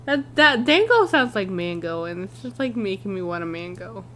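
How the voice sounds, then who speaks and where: A woman's voice: mid-range voice, big pitch swings, moderate pace, a bit bright, a little hiss or hum. A woman streaming a game, chatting casually and reacting in an informal, unfiltered, sweary way. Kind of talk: casual live-stream chat and reactions while gaming.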